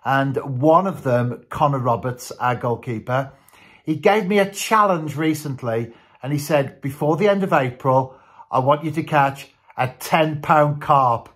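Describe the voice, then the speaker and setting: A man's voice: mid-range voice, unhurried, a bit bright, slightly rough. A man in his fifties from Britain, chatting enthusiastically about fishing as a guest on a radio call from his living room while wearing headphones. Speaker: radio guest and angling vlogger. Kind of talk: casual chat about fishing.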